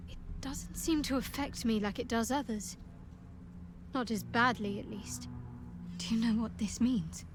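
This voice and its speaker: A woman's voice: fairly high pitch, measured pace, lightly acted. A woman playing a guarded, devout young cleric in a fantasy video game, dry and teasing. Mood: awed, devout. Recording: clear music behind.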